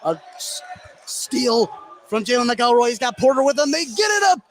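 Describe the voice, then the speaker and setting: A man's voice: very high-pitched, big pitch swings, normal speed. A man doing live play-by-play basketball commentary in a gym, his delivery swelling as the action heats up. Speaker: play-by-play announcer. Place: indoor high school basketball gym. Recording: clearly noisy gym ambience. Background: gym noise.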